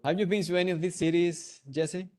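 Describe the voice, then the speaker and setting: A man's voice: high-pitched, steady tone, fairly quick, darkish tone. A young man with a Venezuelan Spanish accent, hosting a live trivia webinar on a video call in a playful way, laughing and joking. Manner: playful, laughs often, hums and sings along. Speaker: webinar host.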